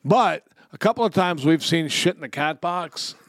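A man's voice: on the high side, moderate pace, no echo, clean studio sound. A man co-hosting a podcast, telling comic stories casually in a profane, emphatic way. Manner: profane, emphatic, animated storyteller. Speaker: podcast co-host. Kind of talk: casual comic storytelling and banter.

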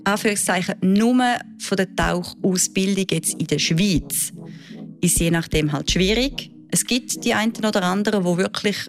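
Swiss german lady, dive instructor, chatty and lively, lots of ums, voice sits in the middle. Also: medium pitch, moderate pace, soft background music. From Switzerland. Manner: lively, chatty storytelling with frequent fillers. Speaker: diving instructor. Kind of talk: interview answers.

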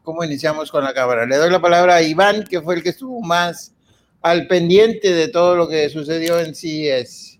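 Slow-talking high-voiced man. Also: a little hiss or hum. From Coahuila, Mexico. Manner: slow, joking. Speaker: guest. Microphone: video call.

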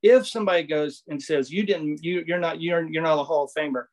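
Rambling man, slightly high voice. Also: fairly quick, a little mellow. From Southwest Virginia. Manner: rambling, many restarts and fillers. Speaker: guest, former baseball pitcher. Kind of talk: casual interview storytelling.

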